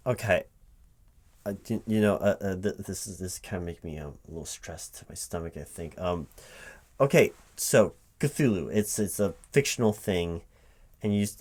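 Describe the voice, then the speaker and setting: A lowish male voice of a man acting out a flustered corporate recruiting manager. He stammers and doubles back on his words as he puts baffled, polite questions in a spoken-aloud ASMR job interview roleplay. Keyboard typing sits behind the voice. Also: darkish tone. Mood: confused, then uneasy, puzzled. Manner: hesitant, stammering, repeating words, puzzled questioning. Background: computer keyboard typing.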